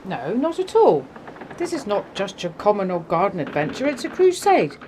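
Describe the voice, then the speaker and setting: Actress as a lively, gracious posh Englishwoman campaigner. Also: medium pitch, normal speed, slight background noise. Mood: bright, friendly. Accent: upper-class English. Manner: polite, refined, encouraging. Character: well-spoken posh woman campaigner, gracious and spirited. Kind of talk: radio play acting.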